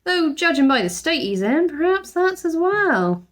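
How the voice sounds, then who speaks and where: A woman's voice: extremely high pitch, big pitch swings, unhurried, voice pushed up, heavily acted. A woman voicing a fierce, grumbling older housekeeper from a children's storybook, blunt, fussy and full of exclamations.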